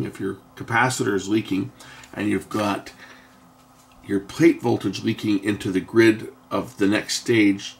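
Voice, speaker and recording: A man giving an unscripted, hands-on technical explanation in a halting way, often pausing and repeating himself to correct what he says. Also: lowish voice, vocal fry, slight background noise. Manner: halting, self-correcting, with frequent pauses and repeats. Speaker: host of an electronics repair channel.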